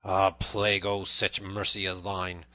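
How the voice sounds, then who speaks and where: A man's voice: low-pitched, monotone, measured pace, sharp tone. A man voicing a proud but beaten outlaw fighter, roaring and grumbling in archaic storybook speech. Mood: sore, grudging.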